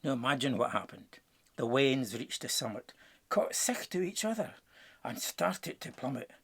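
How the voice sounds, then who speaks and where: A man with a Scottish accent narrating a rhyming storybook in Scots with a theatrical, expressive delivery. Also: medium pitch, normal speed, vocal fry, breathy edge. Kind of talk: dramatic rhyming verse storytelling.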